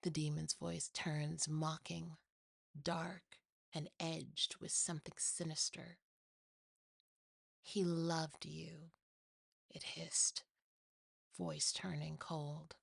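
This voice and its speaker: A woman narrating a dramatic first-person horror story in a hushed ASMR style, often close to whispering. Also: deep voice, flat delivery, takes it slowly, gravelly, slightly rough, no echo.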